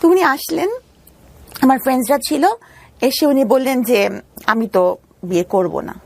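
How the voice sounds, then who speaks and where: A Bangladeshi woman telling her personal story as an interview guest, halting and repeating phrases for emphasis. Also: high voice, vocal fry.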